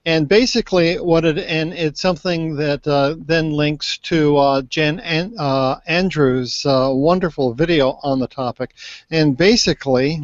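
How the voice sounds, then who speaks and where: A man in his sixties with a fairly high voice gives a halting, informal explanation. The sound is very dry and somewhat dull, like call audio. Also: no echo. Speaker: panelist. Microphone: handheld microphone on a video call.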